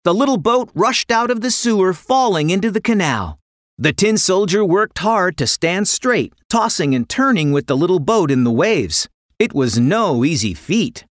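A woman narrating a scripted children's story in an expressive, dramatic way. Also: fairly low pitch, normal speed, no room sound, clean studio sound.

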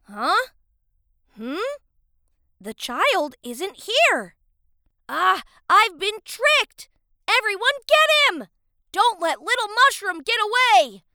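A woman's voice: very high voice, very animated, takes it slowly, pauses often, sharp tone, no echo, studio quality, heavily acted. A woman voicing a spirited, bossy talking vegetable with exaggerated, exclamatory delivery in a children's audio story. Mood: urgent.

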